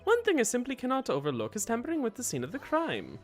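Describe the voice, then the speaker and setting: A man voicing a cold, formal hired killer from a video game, in a deliberate, pompous manner. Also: very high voice, huge pitch swings, pitched above the performer's own voice, heavily acted. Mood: indignant, cold. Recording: music behind the voice.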